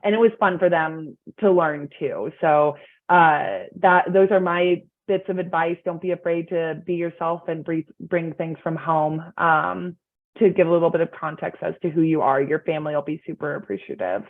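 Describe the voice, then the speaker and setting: A woman's voice: low voice, moderate pace. A young woman student panelist giving casual, chatty advice on a video call, with lots of filler words. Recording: tinny phone-like video call audio.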